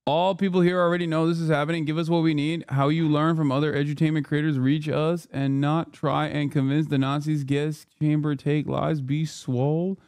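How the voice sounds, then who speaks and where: A man in his thirties, speaking a little high, reacts to news footage on a livestream. He is sarcastic and swears freely, and his close mic sounds dry with no room around it. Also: flat delivery, moderate pace, hardly any pauses, darkish tone, clean tone, no echo. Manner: sarcastic, profane, talks over clips. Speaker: streamer reacting to news clips. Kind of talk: casual livestream commentary.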